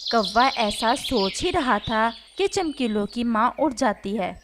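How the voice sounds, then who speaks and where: A woman with a high-pitched voice narrates a children's animal story. Her delivery is scripted storytelling. A faint layer of noise lies behind the voice. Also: expressive, unhurried, fairly bright tone.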